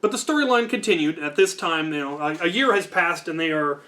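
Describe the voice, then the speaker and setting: A man's voice: a little high, lively intonation, fairly quick, pushed and bright. A man hosting a storytelling channel, talking in a casual, unscripted, discursive way with wry asides.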